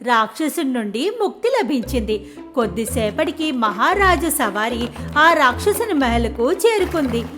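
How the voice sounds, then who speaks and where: A woman reads a children's fairy tale as its narrator. Her voice is high and swings expressively up and down, with music playing clearly behind her. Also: unhurried. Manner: expressive storytelling. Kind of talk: scripted children's story narration.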